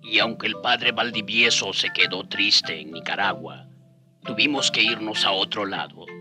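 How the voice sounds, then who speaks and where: An actor playing a friar's companion, recalling their journeys as a measured radio drama storyteller. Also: male voice, on the low side, pushed and bright.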